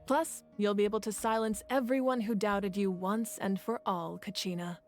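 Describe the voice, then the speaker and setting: Woman as a composed, mid-pitched warrior leader. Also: faint music behind, lightly acted. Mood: reassuring. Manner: measured, formal, steady. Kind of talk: video game voice acting.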